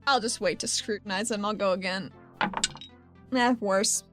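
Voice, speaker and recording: A woman's voice: medium pitch, normal speed, fairly bright tone, faint music behind. A woman playing a tabletop roleplaying game, chatting casually at the table in a wry, sweary, informal way.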